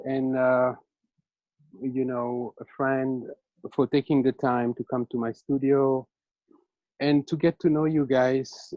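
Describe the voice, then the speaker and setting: A man's voice: medium pitch, darkish tone, no echo. A man from Senegal, a self-taught painter, talking hesitantly about his work over a video call. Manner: hesitant, searching, many fillers. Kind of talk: casual artist talk on video call. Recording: dull, dry video call audio.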